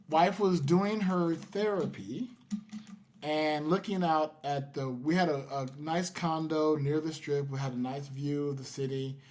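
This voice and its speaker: A man hosting a podcast, telling stories casually in a halting, self-deprecating way. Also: fairly high pitch, a little mellow. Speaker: podcast host. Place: in-person podcast recording in Las Vegas.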